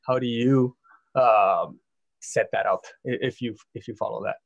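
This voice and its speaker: A man's voice: mid-range voice, measured pace, gravelly, highs cut off. A man who is a parent, moderating a live panel discussion and putting open questions to the other panelists. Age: adult parent.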